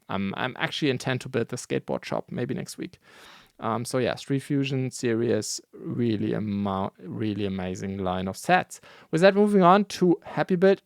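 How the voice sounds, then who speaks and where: A man whose first language is not English, hosting a casual news roundup in a relaxed, rambling way, with many fillers and self-corrections. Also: lowish voice, vocal fry, clean tone, dry recording, clean studio sound. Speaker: host of a brick building news show.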